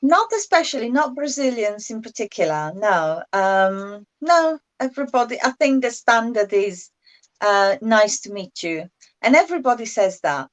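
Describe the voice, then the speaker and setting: A woman speaks in a fairly high voice. She is a guest in a casual chat, explaining points of English in a conversational way. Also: big pitch swings, moderate pace.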